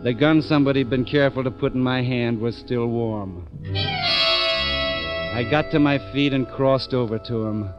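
An actor voices a hardboiled police lieutenant in an old radio play, narrating his case in a dry, clipped, sardonic manner. The sound is muffled and dull, with music playing clearly behind him. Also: medium pitch, normal speed, close to an everyday voice. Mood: hardboiled narration. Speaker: narrator and detective. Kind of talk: 1940s radio acting.

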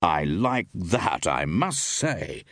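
A man's voice: medium pitch, wildly swooping pitch, a little slow, breathy edge, dry recording, clean studio sound, voice pushed up, heavily acted. A man voicing an urbane, affable and inquisitive novelist in an audiobook, polite and direct. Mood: mock protest. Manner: polite, direct, well-spoken. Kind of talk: audiobook character voice.

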